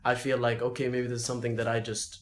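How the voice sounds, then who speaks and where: A young man from Dubai, a rapper, talks through questions about identity in a casual, thoughtful way. He muses aloud with plenty of fillers, at an ordinary middle pitch. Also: little pitch movement, rapid-fire. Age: young adult. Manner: reflective, loose and chatty, many fillers. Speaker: interview guest, rapper. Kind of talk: casual interview.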